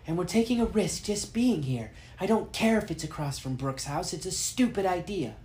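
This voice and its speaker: A man's voice: high voice, lively intonation, moderate pace, darkish tone, echoey room. A man voicing a haughty, disapproving young girl with an indignant, emphatic manner, as in a storybook reading. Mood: grumbling.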